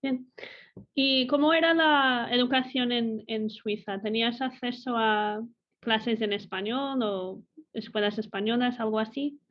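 A woman's voice: a little high, fairly even intonation, a little slow. A woman interviewing a guest, asking short questions in a casual way. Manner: brief questions and backchannel sounds. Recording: dull lo-fi recording.

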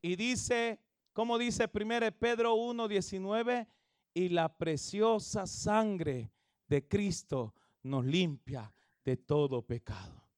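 A man, preaching an evangelistic sermon and leading the congregation in reading, with phrasing that swells into shouts. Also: high-pitched, dry recording, studio quality. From El Salvador. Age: 30s. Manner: calls everyone "hermano", drawn-out emphatic phrasing. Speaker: pastor.

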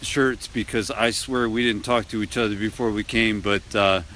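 Man podcast host, low voice, casual, outdoors, faint traffic. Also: fairly even intonation, normal speed, fairly bright tone. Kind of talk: casual interview chat. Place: outdoors in the cold.

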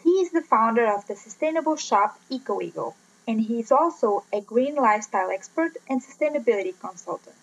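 A woman's voice: on the high side, lively intonation, a little fast, poor audio. A woman hosting a podcast, giving a scripted introduction and interview questions. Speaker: podcast host.

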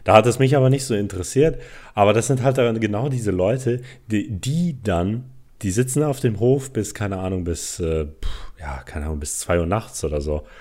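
A man's voice: fairly low pitch, normal speed, mellow. A young man chatting as a podcast co-host, telling stories and ranting in colloquial, exasperated language with some swearing. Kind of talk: casual chat, anecdotes and ranting.

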